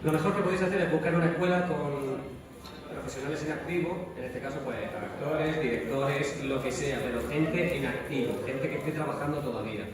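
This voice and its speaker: A man's voice: on the high side, a little fast. A man with an accent from Spain giving informal, plain-spoken advice as a guest on a live panel. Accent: Spanish from Spain. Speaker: voice actor, guest speaker. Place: large echoey event hall at a convention. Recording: very echoey hall, distant mic, noisy.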